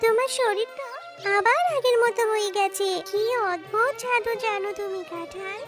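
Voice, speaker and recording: A woman's voice: very high voice, moderate pace. A woman voicing a sweet, helpful, childlike magical cartoon creature in a children's fairy tale, speaking simply and earnestly. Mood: sweet, eager. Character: childlike magical talking jackfruit and little fox girl, sweet, helpful. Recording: loud tinkling children's music behind.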